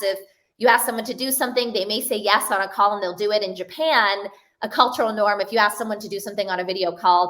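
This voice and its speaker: A woman in her forties talks through her points in clear, ordered steps, weaving in short stories. She joins a panel discussion over a video call from a room at home. Her pitch and delivery sit in an everyday middle range. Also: a bit bright. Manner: articulate, structured, anecdotal. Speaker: panelist, collaboration expert.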